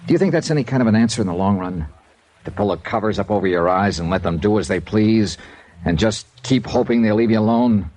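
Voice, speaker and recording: A man's voice: fairly low pitch, lively intonation, fairly quick, darkish tone, rough recording. An actor playing a blunt, hard-boiled male insurance investigator in an old radio drama, pressing questions and narrating. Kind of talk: 1950s radio drama acting.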